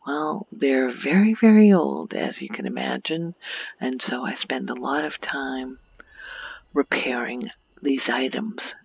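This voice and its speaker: A woman speaks very softly in an ASMR game tour, her voice breathy and often close to a whisper, with a crackle of vocal fry. She explains things gently and unhurriedly. The sound is close and dry, with a slightly muffled, lo-fi finish. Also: a bass voice, no echo. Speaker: video game streamer and ASMR creator.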